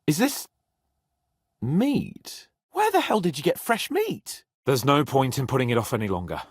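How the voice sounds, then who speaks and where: Husky, expressive young nobleman. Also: mid-range voice, unhurried, no room sound, studio quality. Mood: indignant, exasperated. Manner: expressive, irreverent, swearing freely. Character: spirited young nobleman, hungry, profane, bossy but loyal. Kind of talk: video game character voice acting.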